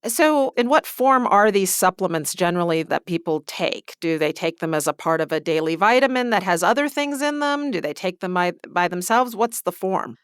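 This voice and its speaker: A woman's voice: fairly low pitch, lively intonation, moderate pace, hardly any pauses, no echo, clean studio sound. A woman hosting an interview podcast, asking structured questions and recapping the answers plainly. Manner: clear, structured, recapping what was said.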